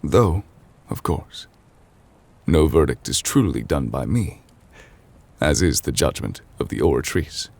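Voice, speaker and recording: A man playing an ancient, weary chief judge in an intimate ASMR roleplay, formal and gentle, speaking in measured, hesitant phrases. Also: lively intonation, exaggerated voice. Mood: sombre, weary. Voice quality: husky, breathy low voice. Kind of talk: intimate ASMR audio roleplay, slow dramatic monologue.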